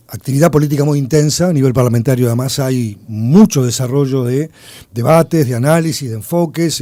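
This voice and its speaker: A man's voice: mid-range voice, warm tone, no echo. A man with a Uruguayan accent, a radio journalist chatting in a playful, conversational way during a studio interview. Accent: Uruguayan Spanish. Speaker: radio journalist, weekly panelist.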